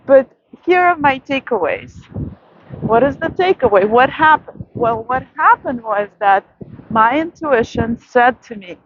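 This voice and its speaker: High-voiced woman musing late at night. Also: expressive, lo-fi sound, a little hiss or hum. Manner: soft, musing, with frequent pauses and fillers. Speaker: vlogger. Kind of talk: reflective late-night monologue. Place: outdoors at night in a city.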